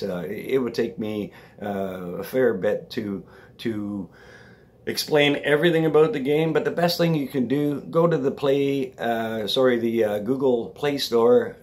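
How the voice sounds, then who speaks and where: A man hosting his own vlog, slightly raspy from allergies, talking casually and rambling, often checking in with the viewer. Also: medium pitch, darkish tone. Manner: chatty, rambling, checks in with "all right?". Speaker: vlog host. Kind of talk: casual talk to camera.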